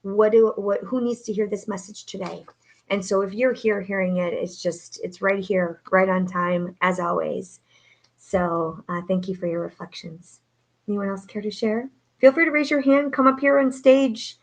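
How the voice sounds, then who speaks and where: A woman's voice: mid-range voice, normal speed. A woman hosting a live online talk as a coach, upbeat and preacherly, speaking from a home setup. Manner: upbeat, preacherly, many fillers. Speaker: host and coach. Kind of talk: live-streamed motivational talk. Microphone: home setup, no studio.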